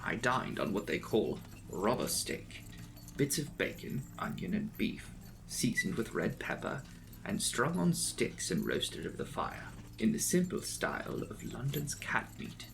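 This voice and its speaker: A man narrating a classic novel as a dramatic audiobook, with measured, formal, theatrical phrasing. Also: medium pitch, wildly swooping pitch, vocal fry, fairly bright tone, a little hiss or hum, low-quality recording.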